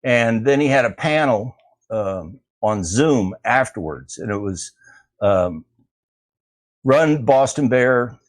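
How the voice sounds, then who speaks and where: A man calls in to a live talk show in a mid-range voice. He speaks casually and pauses often as he searches for his words. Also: medium pitch, expressive. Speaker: caller. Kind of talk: casual call-in chat.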